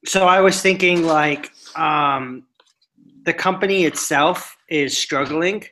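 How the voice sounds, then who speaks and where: A man's voice: fairly high pitch, slow pace, pushed and bright, slight background noise, rough recording. A man chatting casually on a video call with a study partner, laid-back and easily distracted, cracking jokes before getting to coursework.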